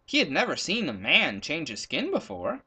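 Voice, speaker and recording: A man narrating a children's story as a storyteller. His delivery is extremely lively, with wide swings of pitch from phrase to phrase. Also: normal speed, slightly rough. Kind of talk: animated children's story reading, scripted narration.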